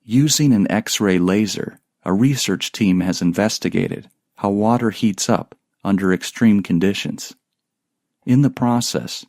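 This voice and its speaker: A man with a fairly low voice reads short academic texts from a script. He goes deliberately, phrase by phrase, in a dry, echo-free sound. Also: a little mellow. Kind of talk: scripted read-aloud of academic practice texts.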